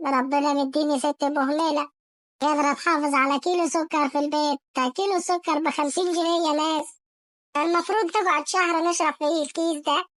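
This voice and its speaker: High-pitched, whiny angry Egyptian cartoon husband. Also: little pitch movement, fast, fairly bright tone, no room sound, studio quality. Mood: wailing outrage. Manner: scolding, wailing complaints. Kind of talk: Arabic comedy cartoon sketch.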